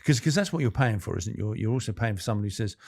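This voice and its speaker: A man with a lowish, gravelly voice co-hosting a podcast. He chats casually, pausing and restarting as he thinks, and slips in teasing banter. The recording is dry and close. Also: lively intonation, darkish tone, no room sound. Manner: thoughtful, halting, bantering. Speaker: podcast co-host. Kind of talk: casual conversational interview.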